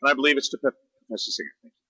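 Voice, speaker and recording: A man's voice: mid-range voice, expressive, rapid-fire, sharp tone, breathy edge. A man moderating a formal debate in a polite, deferential manner.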